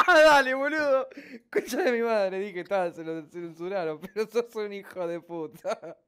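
A young woman with a Rioplatense Spanish accent, streaming games from her home computer desk in excitable, swearing, shrieking banter. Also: medium pitch, measured pace, clean tone. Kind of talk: casual gaming stream banter.

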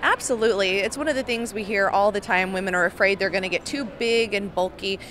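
A woman in her forties explains her coaching approach with calm confidence, her pitch sitting in a middle range. She answers into a handheld mic in a large expo hall. A faint hum runs beneath her voice. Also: fast talker. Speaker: fitness coach guest. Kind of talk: interview answers. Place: large indoor expo hall, in front of a backdrop. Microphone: handheld microphone held by host.

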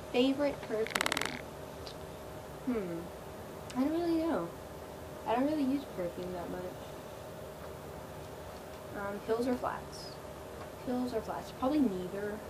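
A teenage girl vlogging from her bedroom, answering questions in a laid-back, filler-heavy way and trailing off often. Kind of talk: casual Q&A chat. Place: small bedroom, indoors. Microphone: built-in webcam mic. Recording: quiet, low-volume webcam audio with loud hiss.

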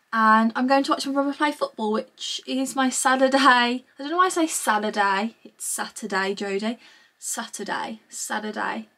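A woman's voice: on the high side, bright, forceful tone. A woman in her twenties with a British accent, chatting casually to camera in a gushing, informal vlog style at home. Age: 20s. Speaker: vlogger. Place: small room at home, indoors.

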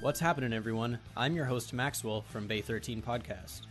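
A man's voice: mid-range voice, a little fast. A man reading a promo as a podcast host. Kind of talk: promo read. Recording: guitar music behind the voice.

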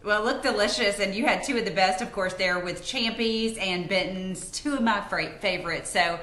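A woman in her thirties, a news anchor, reacting warmly to a cooking segment from a home room. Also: mid-range voice, normal speed, echoey room. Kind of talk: studio news banter. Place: home room on a video link.

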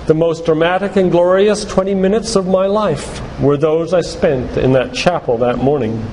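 An older man giving an earnest, deliberate sermon-like talk with stories and read-out quotations. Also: on the high side, darkish tone. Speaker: physician and lay preacher giving a church talk. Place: church hall, live talk to congregation. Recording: reverberant church hall, background noise.

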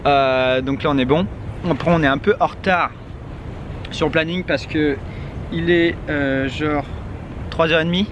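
A man's voice: fairly high pitch, steady tone, unhurried, long pauses, lo-fi sound. A young man talking casually to a handheld camera outdoors, rambling with many hesitations. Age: young adult. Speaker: vlogger. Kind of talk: casual travel vlog to camera. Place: outdoors in a city street. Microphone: handheld camera at arm's length. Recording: outdoor traffic noise behind. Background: street and traffic noise.

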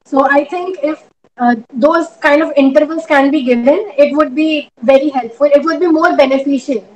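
A young woman whose first language is Hindi, answering interview questions in a measured way over a video call from a small room at home. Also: sits high, a little mellow, muffled recording, slight background noise. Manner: measured, thoughtful, with many hesitations. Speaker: interviewee, judicial exam candidate. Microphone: laptop webcam on video call.

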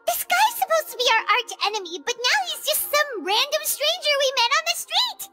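A woman voicing a tiny, excitable fairy companion girl in a video game, squeaky and childlike, blunt and exclamatory. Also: fairly quick, loud music behind the voice. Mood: frustrated.

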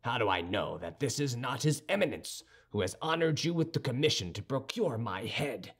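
A man voicing a haughty, sardonic young musketeer in an audiobook, formal, measured and condescending. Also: mid-range voice, expressive, husky. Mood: sardonic, composed. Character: cool, haughty young musketeer with churchly airs, dryly sardonic. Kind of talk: audiobook character dialogue.

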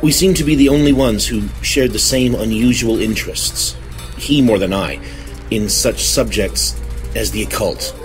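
An actor playing an earnest, troubled gentleman telling his story haltingly and properly in a radio drama. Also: lowish voice, soft background music, natural voice, poor audio. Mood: brooding. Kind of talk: dramatic radio play narration.

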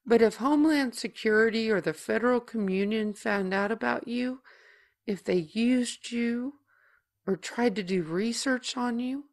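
A woman playing a tender, protective older sister in an audio drama, speaking with halting emotion. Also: fairly high pitch. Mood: pleading.

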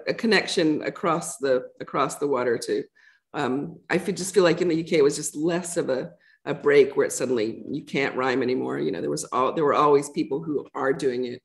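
A woman's voice: lowish voice, creaky. A middle-aged woman poet answering audience questions in a reflective, conversational way, thinking aloud as she goes. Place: lecture room with a projection screen.

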